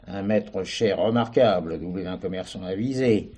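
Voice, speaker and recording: An actor playing a courteous provincial gentleman in a stage play, speaking formally and deliberately. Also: deep voice, slightly rough. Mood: earnest, admiring. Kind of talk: French stage play dialogue.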